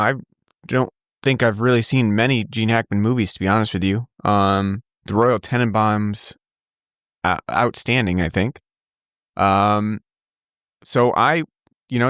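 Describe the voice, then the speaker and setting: A man with a fairly low voice hosts a relaxed podcast, wandering through his thoughts with plenty of ums and false starts. The sound is close and dry, with no room around it. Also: smooth, dry recording. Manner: rambling, many fillers and restarts. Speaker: podcast host. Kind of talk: casual podcast chat.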